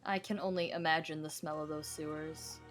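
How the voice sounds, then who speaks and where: A woman's voice: sits low, fairly even intonation, brisk, soft background music. A woman in her twenties streaming a game, chatting casually, joking and answering her chat. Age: 20s. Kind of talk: casual live gameplay chat.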